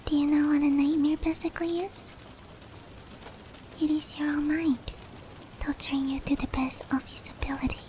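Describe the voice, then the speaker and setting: A soft, breathy female demon played by a woman whispers tenderly in a high voice. She is caring and reassuring, in the close, intimate style of roleplay ASMR. Light rain noise runs behind her. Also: level tone, normal speed, pauses often, natural voice. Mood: soothing, reassuring. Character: gentle, caring female sleep paralysis demon comforting a human.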